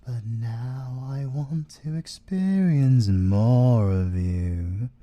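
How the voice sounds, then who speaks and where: A man's voice: mid-range voice, lo-fi sound. A British man playing a playful, possessive, seductive siren villain in a theatrical ASMR audio roleplay. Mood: obsessive, seductive. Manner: teasing, theatrical, drawn-out delivery with drops into whispering.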